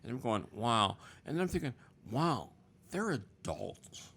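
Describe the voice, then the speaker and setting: A man near seventy with a gravelly edge, talking bluntly as a guest in a loose conversation. His pitch moves a lot as he tells stories, and the tone is bright. He drops swear words without a second thought. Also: big pitch swings, slow pace. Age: 60s. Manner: blunt, swears freely, storytelling. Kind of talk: casual chat.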